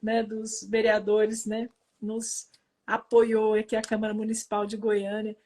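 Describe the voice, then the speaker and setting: A woman's voice: mid-range voice, completely flat delivery, measured pace, a little mellow. A woman with a Goiás Brazilian accent hosting a casual live stream, effusive and thankful, often checking for agreement as she talks. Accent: Goiás Brazilian Portuguese. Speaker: host, quantum therapy teacher.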